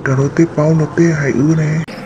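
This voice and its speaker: A man voicing a male character in an amateur animated cartoon drama. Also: on the high side, brisk, muffled recording, noisy background, natural voice. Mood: conversational. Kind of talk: hobby animated cartoon dialogue.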